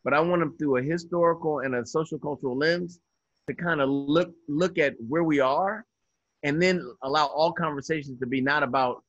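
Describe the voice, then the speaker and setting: A man's voice: mid-range voice, a bit bright, no echo, highs cut off. A man in his fifties, a guest educator speaking in an interview over a video call, earnest and reflective, often restarting his phrasing. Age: 50s. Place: indoors by a window. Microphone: video call webcam.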